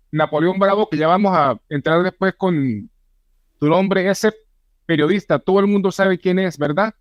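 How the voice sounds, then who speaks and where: A man's voice: high voice, no echo, highs cut off. A man hosting an interview, asking his guest questions in an eager, upbeat way.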